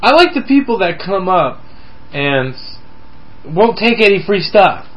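A man's voice: high voice, big pitch swings, unhurried, fairly bright tone. A man co-hosting a casual podcast chat, joking and asking follow-up questions. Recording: dull lo-fi recording with faint hiss.

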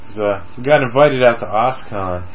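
A man's voice: medium pitch, moderate pace. A man co-hosting a casual podcast chat, joking and asking follow-up questions. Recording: dull lo-fi recording with faint hiss.

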